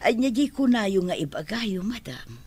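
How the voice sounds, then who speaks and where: A Filipino actress in a radio drama playing a patient, kindly household servant, speaking softly and deferentially. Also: female voice, mid-range voice, sing-song, a little slow, husky. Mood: earnest, deferential.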